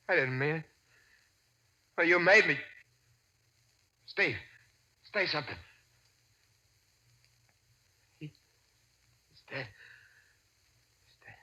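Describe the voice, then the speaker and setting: A man's voice: fairly high pitch, bright, forceful tone, lo-fi sound, full character voice. An actor playing a panicked, desperate male crook in 1940s radio drama style, begging and stammering frantically. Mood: horrified.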